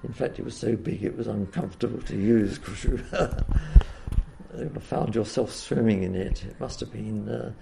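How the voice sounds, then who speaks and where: An older man with a deeply gravelly, croaky voice reminisces slowly and haltingly, filling pauses with uhs and ums. His tone is dark and mellow, with a faint hiss of background noise. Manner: hesitant, full of uhs and ums. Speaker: interviewee. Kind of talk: oral history reminiscence.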